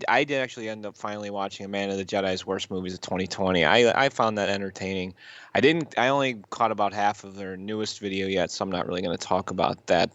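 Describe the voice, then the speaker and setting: A man with a fairly low voice, recorded close and dry, chats as a podcast co-host. He talks in a rambling, halting way, circling back over his phrases and swearing casually as he gives his take. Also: fairly bright tone, no room sound, highs cut off. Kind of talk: casual chat, reviewing YouTube videos.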